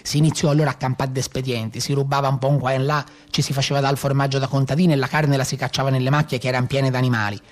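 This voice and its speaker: An actor playing a rough, boastful Maremma brigand in a theatrical monologue, with a Tuscan accent and earthy, colloquial storytelling. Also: male voice, medium pitch, flat delivery, very fast, hardly any pauses, lightly acted. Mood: boastful, blunt.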